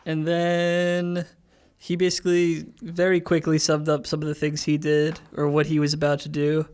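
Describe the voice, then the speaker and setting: A man with a slightly high voice plays in a tabletop role-playing session. He talks casually and improvises his recap, halting often and chuckling at his own jokes. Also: completely flat delivery, a little mellow, clear, resonant tone. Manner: halting, jokey, chuckles while talking.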